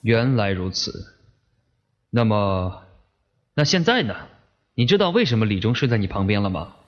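Dull-sounding, very animated police detective played by a man. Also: lowish voice, normal speed. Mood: probing, businesslike. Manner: businesslike, pressing. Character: male police detective, probing, methodical, firm interrogator. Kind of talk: audio drama acting, police interrogation. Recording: slightly dull, lo-fi microphone sound.

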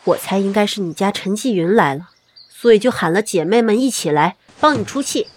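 A woman's voice: medium pitch, lively intonation, a little fast, slightly rough. An actress playing a bossy, fiery woman of ancient China in a period audio drama, with a scolding, theatrical manner. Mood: conspiratorial.

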